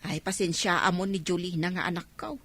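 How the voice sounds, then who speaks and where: A woman's voice: fairly low pitch, fairly even intonation, brisk, very noisy, lightly acted. A woman with an Ilocano accent playing a long-suffering village mother who admonishes her grown daughter in a radio drama. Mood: apologetic, weary. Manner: admonishing, exasperated.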